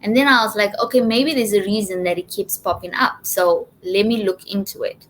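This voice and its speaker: A woman's voice: medium pitch, fairly even intonation, brisk, a bit bright, slight background noise, low-quality recording. A woman from Zimbabwe speaking casually as an interview guest, telling of personal experience.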